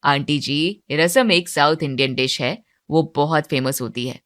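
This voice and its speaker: A woman's voice: sits low, unhurried, a bit bright, no echo, clean studio sound, pitched below the performer's own voice. A polite young man from South India acted by a woman narrator in a cartoon story. He explains things patiently and helpfully. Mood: patient explaining. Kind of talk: Hindi moral-story cartoon character.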